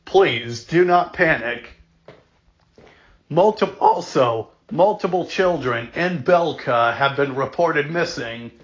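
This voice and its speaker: A man playing a formal, grave emergency alert announcer, reading scripted warnings in a deliberate, measured way. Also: fairly high pitch, lively intonation. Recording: dull lo-fi audio.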